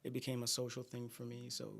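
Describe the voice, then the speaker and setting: A middle-aged man from Guyana, a singer, chatting in an interview in a soft, halting way with many fillers. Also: medium pitch, monotone. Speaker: guest singer. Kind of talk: casual interview chat.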